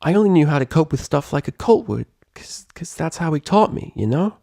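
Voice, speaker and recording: A man's voice: a little high, expressive, brisk, creaky, a little mellow, no room sound, clean studio sound, voice pushed up. A man voicing a brash, irritable young female pegasus pony from a cartoon story, with a cracking voice and slangy, blustering delivery. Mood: wistful, vulnerable. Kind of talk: animated character voice in a story reading.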